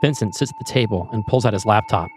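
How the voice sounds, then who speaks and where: A man's voice: lowish voice, fast, over music. A man narrating a scripted screenplay in the present tense with a dramatic storytelling manner.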